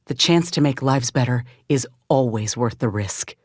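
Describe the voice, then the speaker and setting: An earnest, steadfast female ex-soldier from a video game space frontier. Her is folksy and plainspoken, with measured delivery. The sound is very dry and close, without room. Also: very deep voice, darkish tone, dry recording. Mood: somber, resolute. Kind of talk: video game character dialogue.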